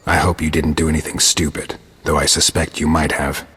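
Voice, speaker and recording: A man playing a gruff, sardonic, world-weary monster hunter in a video game, with a gravelly rasp and a dry, terse delivery. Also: rumbling low, little pitch movement, brisk, a bit bright, in a large hall, heavily acted. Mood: exasperated.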